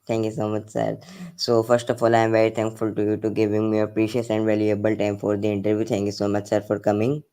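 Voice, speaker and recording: A man from Pakistan hosting an interview, polite and formal, with halting, fillered phrasing. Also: on the low side, completely flat delivery, mellow, clean tone. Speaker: interview host.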